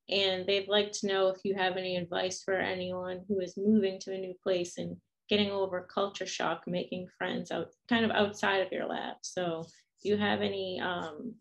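Young woman moderating zoom chat, kinda flat and creaky, reads out questions. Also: medium pitch, normal speed, poor audio. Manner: hesitant, reading student questions. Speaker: interview host. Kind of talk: moderating a video interview. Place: small room indoors. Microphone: video call.

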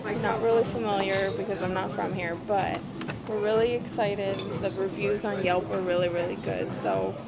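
A woman's voice: medium pitch, steady tone, normal speed, creaky. A young woman chatting casually in a travel vlog, holding the camera at arm's length on a city street, often saying "like". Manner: chatty, upbeat, says "like" often. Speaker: vlogger. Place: city street outdoors and dim restaurant. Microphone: camera held at arm's length. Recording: music playing loudly behind.

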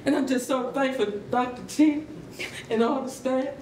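A woman's voice: fairly even intonation, moderate pace. A middle-aged woman giving an informal, heartfelt and folksy speech from among an audience in a hall. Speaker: proud mother speaking from the audience. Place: indoor rehearsal hall, standing among audience. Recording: echoey hall, distant mic, faint rumbly noise.

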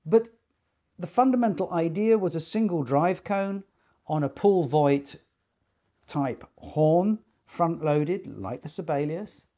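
A man in his sixties giving an informal explanatory talk to camera, speaking in measured, deliberate phrases with pauses. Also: on the high side, animated, dark tone. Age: 60s. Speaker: loudspeaker designer and company technical director. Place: small attic listening room indoors.

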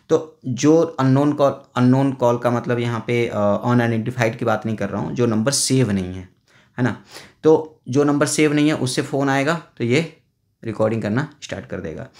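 A man hosting a casual tech tutorial, talking through phone settings step by step with a chatty, explaining manner. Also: mid-range voice. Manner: chatty, explains step by step with frequent "na" and "thik hai". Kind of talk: casual phone how-to voice-over. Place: indoors at a table, filming a phone on a stand.

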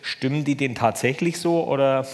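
A man's voice: mid-range voice, moderate pace. A man in his fifties answering questions unscripted as a conference speaker, hesitant and repeating words for emphasis. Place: large hall with high ceiling. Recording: echoey large hall.

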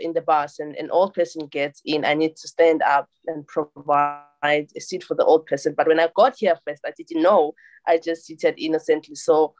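A woman's voice: low-pitched, darkish tone, rough recording. A young woman from South Africa with a South African accent, answering interview questions in a casual, friendly way, often repeating words as she searches for them, recorded close up in a small office. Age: young adult. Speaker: interviewee, master's student. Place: small indoor office room.

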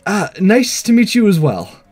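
Guy doing shy nervous student, talks soft and kinda high, awkward visual novel character. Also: huge pitch swings, moderate pace, faint music behind, exaggerated voice. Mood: shy, hesitant. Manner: soft-spoken, hesitant, awkward. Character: shy, quiet teenage student hiding among schoolgirls.

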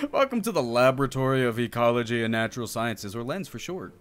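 Friendly explanatory video game professor read by a man, animated delivery, faint music underneath. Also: mid-range voice, normal speed, pitched below the performer's own voice, natural voice. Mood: welcoming, explanatory. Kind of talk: reading game dialogue aloud in character.

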